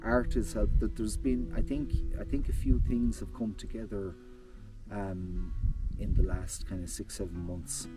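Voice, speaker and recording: Low-voiced Irish man, reflective and halting. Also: little pitch movement. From Ireland (rural Galway). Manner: reflective, halting, with many restarts and fillers. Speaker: interview guest. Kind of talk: casual conversational interview. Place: outdoors on a hillside. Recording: distant mic with loud music bed.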